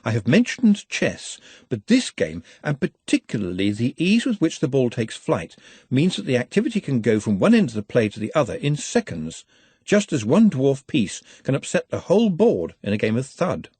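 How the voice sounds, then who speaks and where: A man's voice: mid-range voice, huge pitch swings, normal speed, warm tone, husky, dry recording. A man voicing a small, posh, earnest young man who talks in a formal, precise way. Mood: earnest lecturing.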